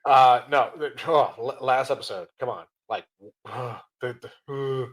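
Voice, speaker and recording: A man's voice: medium pitch, a bit bright. A man co-hosting a podcast, chatting eagerly with frequent stops and restarts. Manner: enthusiastic, halting, with restarts. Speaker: podcast co-host. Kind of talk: casual podcast chat.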